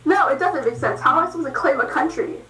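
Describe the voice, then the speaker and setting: A woman's voice: sits high, animated, fast. A woman from the United States chatting with a stranger on a video call, skeptical and argumentative, laughing easily. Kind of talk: casual video chat. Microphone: through a video call. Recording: roomy and distant, music over it.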